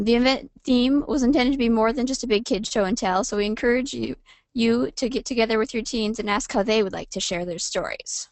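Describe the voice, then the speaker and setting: A woman's voice: fairly high pitch, dry recording. A woman giving a scripted informational presentation as a webinar presenter.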